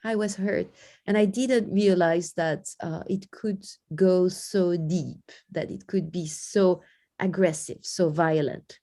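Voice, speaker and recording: A woman from France with a French accent, a guest in an interview, speaking earnestly and slowing down to stress key points. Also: fairly low pitch, fairly even intonation, warm tone. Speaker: guest education researcher. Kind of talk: interview conversation.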